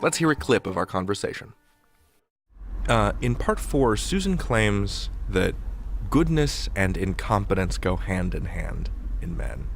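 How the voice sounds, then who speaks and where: A man hosting a podcast, speaking in a playful, theatrical announcer's way with deliberate pauses. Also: on the low side, very animated, creaky, soft background music. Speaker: podcast host. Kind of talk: scripted podcast narration and interview.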